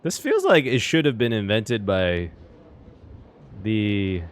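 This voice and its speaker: A streamer giving casual, excitable and expressive reaction commentary on a game. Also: medium pitch, slow. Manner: excitable, giggly, expressive, swearing.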